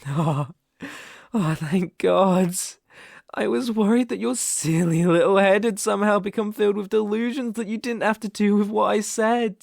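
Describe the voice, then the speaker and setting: A man's voice: sits high, moderate pace, gravelly, a little mellow, no room sound. A British man playing an obsessive, possessive young kidnapper in an intimate ASMR roleplay, coaxing and menacing in a condescending way, close on the microphone. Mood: clingy, adoring.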